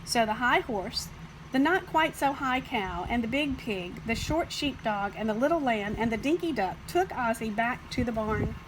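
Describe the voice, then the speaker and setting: Animated woman reading a children's story. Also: a little high, normal speed, background noise. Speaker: storybook reader. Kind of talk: scripted children's story narration.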